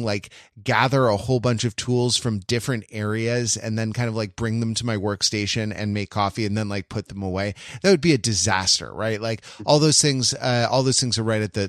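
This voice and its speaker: A man hosting a casual podcast conversation, talking in a halting, rambling way as he thinks aloud. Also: on the low side, no room sound, studio quality. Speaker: podcast host.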